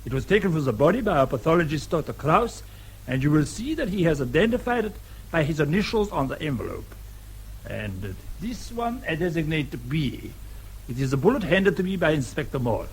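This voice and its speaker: A man's voice: on the high side, sing-song, brisk, a little hiss or hum, poor audio. An actor playing a precise, pedantic forensic expert in a radio drama, formal and didactic as he gives evidence. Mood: precise, authoritative.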